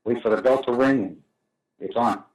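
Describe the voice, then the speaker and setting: A man's voice: mid-range voice, normal speed, darkish tone. A man interpreting on a conference call in hesitant, pieced-together phrases. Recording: echoey, distant, noisy conference line.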